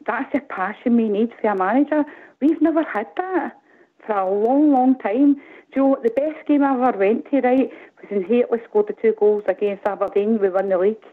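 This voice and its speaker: A middle-aged woman with a Glaswegian accent calling in, rambling and animated, often asking "do you know what I mean". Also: fairly high pitch, a little fast. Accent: Glaswegian Scottish. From Glasgow, Scotland. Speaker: caller. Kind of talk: casual phone-in chat. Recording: tinny phone line.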